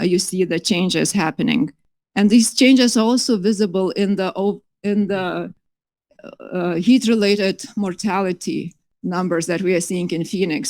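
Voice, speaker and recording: A woman's voice: mid-range voice, gravelly, darkish tone, no echo. Earnest woman presenting. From Lithuania. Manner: earnest, many fillers and restarts. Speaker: conference presenter. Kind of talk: academic conference talk. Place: conference hall.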